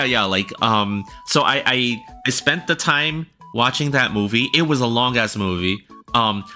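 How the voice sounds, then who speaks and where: Male streamer, casual rambling chat to viewers, bright tone, faint music under. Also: medium pitch. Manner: rambling, talks directly to viewers with frequent "right?". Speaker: livestreamer and illustrator. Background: faint background music.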